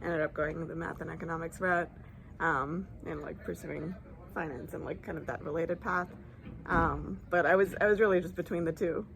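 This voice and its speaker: A young woman in her late twenties, talking casually and loosely with lots of filler words and quick laughs. Her voice sits a little low for a woman. A faint hiss of noise runs underneath. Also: steady tone, gravelly, a bit bright, poor audio. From Dubai. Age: 20s. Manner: chatty, many filler likes and ums, laughs easily. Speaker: interviewee. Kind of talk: casual conversation. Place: lives in San Francisco.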